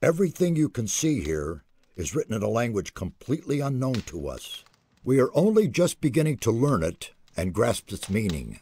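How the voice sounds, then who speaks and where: An actor playing a haughty, irritable fantasy mage in a video game, with pompous, scolding, theatrical diction and a husky voice. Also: male voice, mid-range voice, sing-song, moderate pace, darkish tone. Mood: grave. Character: haughty, irritable male mage guarding ancient secrets.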